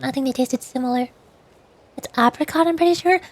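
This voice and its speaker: A woman streamer chatting casually while gaming, in a halting way that often repeats words. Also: high-pitched. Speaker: VTuber streamer.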